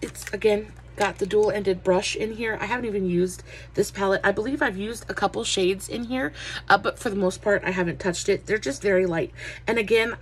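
Woman, young adult, nasal from allergies, chatty, "uh" fillers, mid pitch, faint room hiss. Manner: chatty, many "uh" fillers, friendly greetings. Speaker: beauty vlogger. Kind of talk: casual makeup review.

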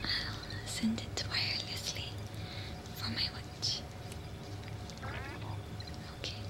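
A young woman whispering gently and soothingly in an ASMR roleplay as a repair technician, close to the mic. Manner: soothing, gentle, slow reassurance. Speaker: ASMR performer playing a robot repair technician.

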